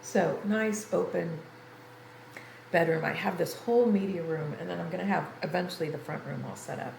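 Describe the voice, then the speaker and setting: A woman's voice: on the low side, moderate pace, gravelly, a little mellow. An older woman livestreamer chatting casually and ramblingly to her viewers, sounding congested and tired. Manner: rambling, conversational. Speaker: game livestreamer. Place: room in an apartment. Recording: roomy echo, faint background noise.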